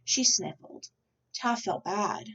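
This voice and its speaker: A woman reading a children's picture book aloud as an expressive storyteller, with a slightly distant, dull recording. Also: mid-range voice, slow, breathy edge.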